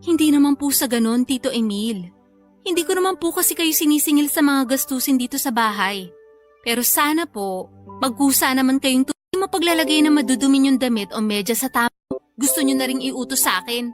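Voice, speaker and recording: A woman's voice: high-pitched, brisk, faint music behind, natural voice. A woman playing an outspoken young working daughter in a radio drama, with a Filipino accent, polite but defiant. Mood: exasperated, reproachful. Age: young adult.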